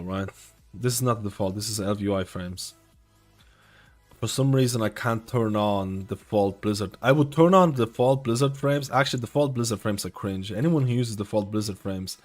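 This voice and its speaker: A man gives casual, opinionated live gaming commentary as a streamer, swearing offhandedly. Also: mid-range voice. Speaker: game streamer.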